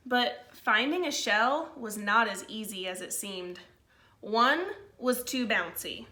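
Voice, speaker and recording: Slow, bright-voiced woman teacher reading aloud. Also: fairly high pitch. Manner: slow, expressive storytelling with informal asides to pupils. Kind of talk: read-aloud of a picture book for children. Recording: roomy, echoey sound.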